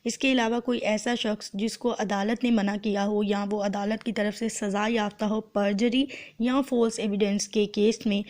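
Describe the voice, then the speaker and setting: A woman's voice: mid-range voice, a little mellow. A woman with a Pakistani accent and a sore throat, teaching law in an explanatory lecture style, switching between two languages. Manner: explanatory, translating phrase by phrase. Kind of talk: lecture explaining law, mixing Urdu and English.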